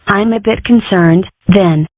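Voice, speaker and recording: A woman's voice: mid-range voice, measured pace, no room sound, close to an everyday voice. A woman voicing a brash, self-important little cartoon girl who rambles and makes grand declarations, in a comic strip dub. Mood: grandly self-assured. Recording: muffled, phone-like narrow audio.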